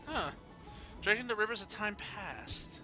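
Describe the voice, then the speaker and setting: A man's voice: sits high, lively intonation, unhurried. A man giving casual live gameplay commentary as a streamer, muttering to himself and reacting to the game. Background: game music.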